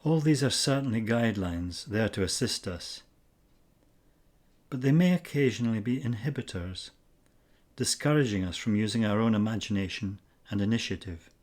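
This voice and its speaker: A man narrating a scripted guided meditation in a measured, instructional way with pauses between phrases. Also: fairly low pitch, a little mellow.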